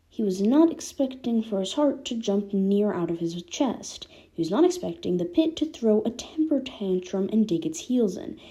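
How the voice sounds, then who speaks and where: A woman's voice: mid-range voice, dark tone. A woman reading fan fiction aloud as scripted narration. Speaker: podfic reader.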